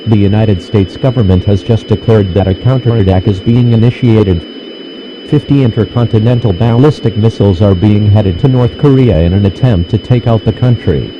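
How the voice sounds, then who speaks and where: A man voicing a stern, impersonal emergency alert announcer in a formal, measured official style. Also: lowish voice, natural voice. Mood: grave, official. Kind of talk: scripted emergency broadcast announcement. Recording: dull, noisy radio-style broadcast.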